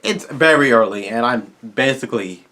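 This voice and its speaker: A man's voice: mid-range voice, animated, normal speed, pushed and bright. A man hosting his own video channel, wrapping up a casual Q&A in a tired, strained voice as he rambles eagerly to his viewers. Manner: rambling, eager fan talk with restarts. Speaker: YouTuber host.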